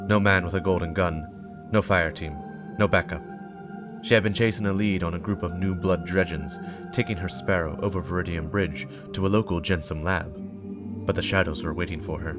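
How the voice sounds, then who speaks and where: A man's voice: sits low, steady tone, a little fast. A man giving a dramatic scripted narration of a story. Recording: music behind the voice. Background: game soundtrack music.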